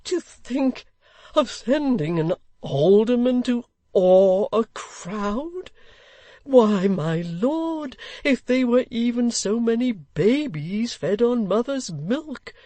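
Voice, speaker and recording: Woman putting on a slow, high, choleric old gentleman for a storybook; dry close read. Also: expressive, no room sound. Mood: frantic. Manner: pleading, indignant outbursts.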